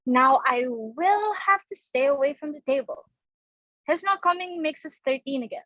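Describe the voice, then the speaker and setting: Meek society daughter played by a woman, young, high voice, mild stage-comedy delivery, somewhat muffled sound. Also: normal speed, fairly bright tone, no room sound. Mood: timid, protesting. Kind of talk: dramatic reading of a stage comedy.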